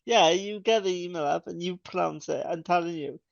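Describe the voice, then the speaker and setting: Young man, slightly high voice, gravelly, rambling, dull lo-fi recording. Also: fairly quick, dry recording. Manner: rambling, many fillers and restarts. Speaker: podcast co-host. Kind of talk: casual chat.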